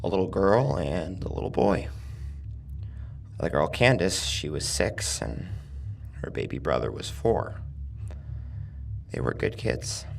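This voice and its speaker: A man voicing a sadistic, grief-stricken masked torturer in a horror audio story, slow and menacing with bursts of rage, husky and gravelly. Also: low-pitched, very animated, far from the mic, exaggerated voice. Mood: low, sincere.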